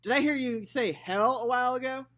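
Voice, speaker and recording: Man doing a shrill scolding grandmother. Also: a little fast. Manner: shrill, loud and threatening. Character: stern scolding old grandmother.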